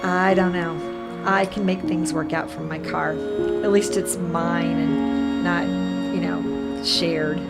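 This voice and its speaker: A woman's voice: fairly low pitch, fairly even intonation, slow, vocal fry. An actress playing a weary, guarded homeless mother in an audio drama, talking in a casual, hesitant way. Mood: wary, tired. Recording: loud guitar music behind.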